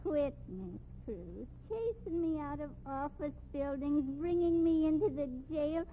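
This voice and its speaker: A woman's voice: high voice, expressive, unhurried. An actress in a 1950s radio drama playing a pitiful, pestering female street flower seller with plaintive, folksy, uneducated speech. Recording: tinny, noisy old radio recording.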